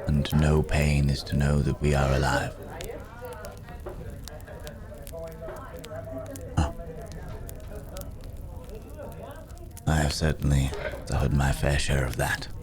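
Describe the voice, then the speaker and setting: A man playing a weary, courteous werewolf traveler in an intimate fantasy ASMR roleplay, speaking in a halting, musing way with soft hums. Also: rumbling low, flat delivery, a crowd in the background. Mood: brooding, philosophical.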